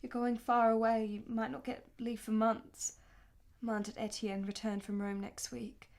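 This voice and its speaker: A woman's voice: fairly high pitch, little pitch movement, unhurried, a little mellow. A woman playing an anguished, tender married lover in a radio play, speaking with earnest emotion. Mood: earnest, wistful. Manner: emotional, earnest, dramatic delivery.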